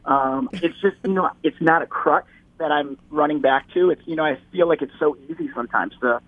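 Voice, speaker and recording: A man's voice: fairly high pitch, brisk, no echo. A man talks over a tinny, phone-like line that sounds very dry, with no room around him. His voice sits a little above average for a man. He speaks as an interview guest, rambling casually and restarting his sentences. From Metro Detroit. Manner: rambling, many restarts and repeated words.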